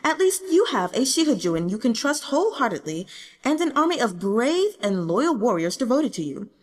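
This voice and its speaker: An embittered young emperor, acted out by a woman, speaks in long hall reverb. The delivery is formal, solemn and regal, swinging widely in pitch and sitting fairly high, as dramatic audiobook dialogue. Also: big pitch swings, normal speed. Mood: bitter, solemn. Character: embittered, self-mocking young male emperor, regal and burdened.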